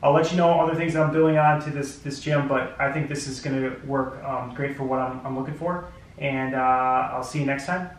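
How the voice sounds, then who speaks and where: A man in his thirties casually reviewing gym gear at home, his voice sitting at an ordinary middle pitch. He rambles and restarts his sentences, full of fillers. The sound bounces hard around a bare, very echoey room, picked up by a camera mic a few feet off. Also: fairly even intonation, fairly quick, slight background noise. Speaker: product reviewer.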